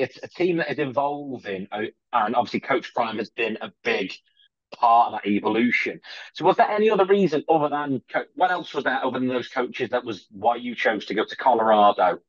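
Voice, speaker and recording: A man's voice: medium pitch, brisk, no echo. A middle-aged man hosting a podcast, asking long winding questions and leaning on the word "obviously". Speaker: podcast host. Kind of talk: interviewing. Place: home room indoors. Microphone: video call. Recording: dull lo-fi video call audio.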